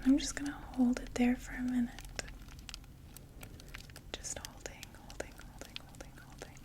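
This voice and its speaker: A woman whispering a gentle, soothing ASMR roleplay as a friendly makeup saleswoman, often repeating words softly. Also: high-pitched, flat delivery, normal speed. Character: friendly female vampire door-to-door makeup saleswoman.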